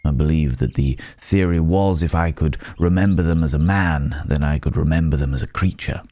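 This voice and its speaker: Guy doing a tired haunted werewolf man, asmr roleplay, dark mellow voice, super close and dry, pensive. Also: extremely low pitch, no room sound. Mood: pensive, explaining. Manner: halting, grave, pensive. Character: weary, remorseful male werewolf in human form. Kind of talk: slow, intimate ASMR roleplay.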